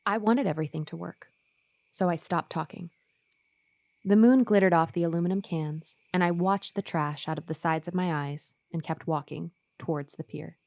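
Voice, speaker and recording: An actress playing an uneasy, obedient woman who tells her eerie story in a measured way in a radio horror play. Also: fairly low pitch, gravelly, darkish tone, no room sound, clean studio sound. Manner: measured, first-person storytelling.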